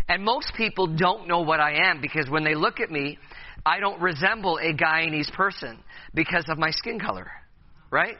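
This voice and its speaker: A man's voice: fairly high pitch, lo-fi sound. A man in his thirties speaking to a room of youth leaders at a session, hesitant with many fillers and restarts, and playful with the audience. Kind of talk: conference talk to youth leaders.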